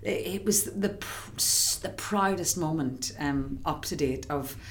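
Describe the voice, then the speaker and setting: Chatty Irish woman, low voice. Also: measured pace. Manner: chatty, excitable, many restarts. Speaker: musical theatre actress, guest. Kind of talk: casual interview chat.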